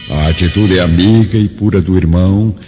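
A man's voice: low voice, steady tone, normal speed, warm tone. A man reading scripted dramatic narration in a solemn, literary manner. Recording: dull hall reverb, music behind.

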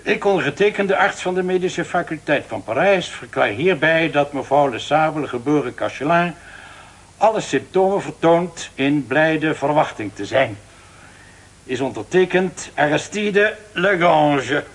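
A man's voice: a little high, lively intonation, a little slow, husky. An actor in a radio drama playing a formal, obliging male notary with a fussy, ceremonious manner. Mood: official, reading.

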